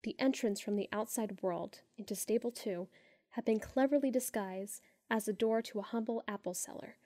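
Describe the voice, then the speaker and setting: A woman's voice: medium pitch, no room sound. Woman narrating a story, dry close sound. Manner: measured, sinking to hushed tones in tense moments. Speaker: audiobook narrator. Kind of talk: first-person story narration.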